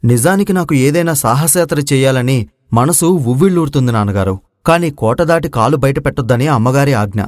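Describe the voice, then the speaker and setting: A man's voice: mid-range voice, lively intonation, a little fast, no echo, clean studio sound, natural voice. Man playing an earnest, dutiful young prince. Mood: respectful, earnest. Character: dutiful, eager young prince seeking adventure. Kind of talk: dramatized moral story dialogue.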